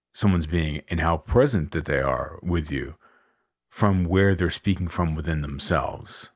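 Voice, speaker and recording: A man hosting a solo coaching podcast, talking in an unhurried, musing way with a deep chest voice and many fillers. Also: no room sound, studio quality. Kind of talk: reflective solo podcast talk.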